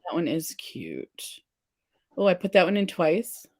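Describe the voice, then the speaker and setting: A woman's voice: fairly low pitch, big pitch swings, measured pace, a little mellow, no echo, clean studio sound. A woman hosting a casual livestream, chatting and reading viewer comments aloud. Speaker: livestream face paint host.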